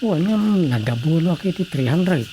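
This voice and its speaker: Plain-spoken village councilor, low-pitched voice, Ilocano accent, comic radio drama, faint ambient noise. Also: female voice, animated, a little fast. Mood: matter-of-fact.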